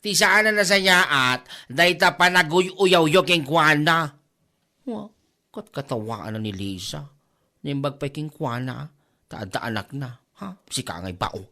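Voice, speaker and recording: A man's voice: on the high side, expressive, a little slow, bright, forceful tone, full character voice. A man with an Ilocano accent playing a gossipy, exclamatory village neighbour in a comedy drama. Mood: teasing.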